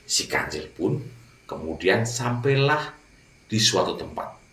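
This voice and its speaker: Animated male children's storyteller, echoey room. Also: lowish voice, slow pace, far from the mic. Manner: addresses young listeners directly. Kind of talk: animated fable narration for children.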